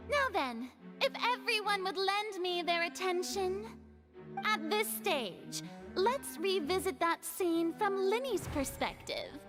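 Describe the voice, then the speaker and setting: A woman's voice: extremely high pitch, sing-song, takes it slowly, full character voice. A woman voicing a haughty, theatrical young water goddess in a video game, with grandiose courtroom oratory over music. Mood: impatient, commanding. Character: theatrical, haughty young female hydro archon presiding over a trial. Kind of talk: dramatic video game voice acting.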